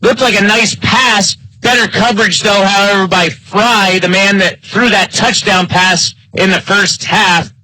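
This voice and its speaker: A man's voice: high voice, fairly even intonation, unhurried, hardly any pauses, sharp tone, no echo, low-quality recording. A man with an American accent doing live play-by-play commentary of an American football game. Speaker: play-by-play sports announcer.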